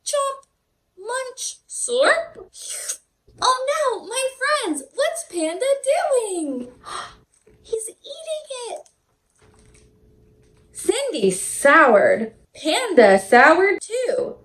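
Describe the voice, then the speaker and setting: Lady kids teacher doing storytime, really slow n sing-song, super excited delivery, voice all over the place, echoey room. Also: very high voice. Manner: sing-song, exaggerated enthusiasm, addressing children directly. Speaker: children's teacher and show host. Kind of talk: read-aloud storytime for young children.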